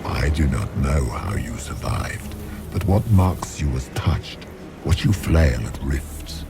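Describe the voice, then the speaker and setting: Ancient would-be god for a fantasy game: towering, arrogant darkspawn, bottomless low pitch, unhurried grandiose delivery. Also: male voice, lively intonation, over loud music, exaggerated voice. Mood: cold contempt. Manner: grandiose, imperious, slow deliberate pronouncements. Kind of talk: fantasy video game villain acting.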